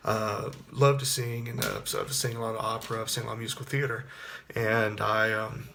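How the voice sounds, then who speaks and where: A man's voice: little pitch movement, brisk, creaky, bright, forceful tone, slight background noise. Rambling Texan man in his thirties. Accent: Texas. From Texas. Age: 30s. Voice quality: baritone. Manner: rambling, with frequent restarts and fillers. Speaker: actor and singer. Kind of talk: casual spoken reflection to camera.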